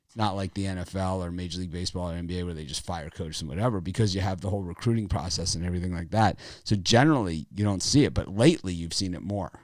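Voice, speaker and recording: A man with a raspy voice hosting a sports betting show, talking casually in a rambling, opinionated way with lots of fillers. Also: deep voice, fast, no echo, studio quality.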